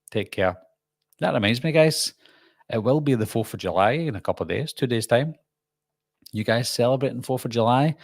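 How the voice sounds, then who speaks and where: A man's voice: mid-range voice, gravelly, dry recording, studio quality. A man with a Glasgow accent, a YouTube host rambling casually through viewer comments on a live stream, full of ums and restarts. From Scotland.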